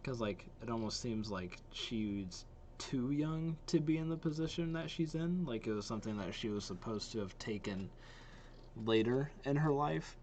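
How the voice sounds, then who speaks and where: A man's voice: medium pitch, lively intonation, moderate pace, darkish tone, slight background noise. A young adult man chatting casually as a reaction video host, slangy and thinking aloud. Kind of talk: casual chat, reacting to game trailers.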